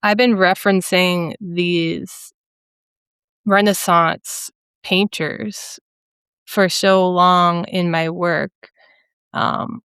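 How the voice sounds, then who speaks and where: Soft-voiced woman with a Minnesota accent. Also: fairly low pitch, little pitch movement, drawn-out words, pauses often, clean tone, no room sound, clean studio sound. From central Minnesota. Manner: thoughtful, hesitant, many ums and restarts. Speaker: painter, interview guest. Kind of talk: casual interview conversation.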